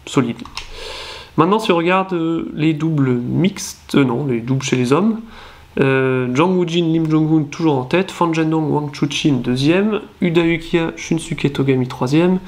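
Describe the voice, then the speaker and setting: Man with a cold, slightly high voice. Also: a little slow, darkish tone. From France. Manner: hesitant, many fillers and self-corrections. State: nasal voice from a cold. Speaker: channel host. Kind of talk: casual ranking commentary.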